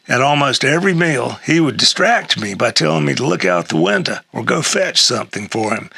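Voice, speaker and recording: An older man reading a humorous memoir essay aloud in a halting way, with a gravelly, husky voice. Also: medium pitch, bright, forceful tone, no room sound. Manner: halting read-aloud with stumbles and chuckles. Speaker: reader of an essay excerpt.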